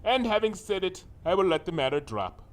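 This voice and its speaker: Villain creature: high male voice, wildly swooping delivery, pompous, suave, menacing, formal storybook grandeur. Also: very animated. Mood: intense, measured. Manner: formal, theatrical, deliberate. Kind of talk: dramatic fan fiction reading.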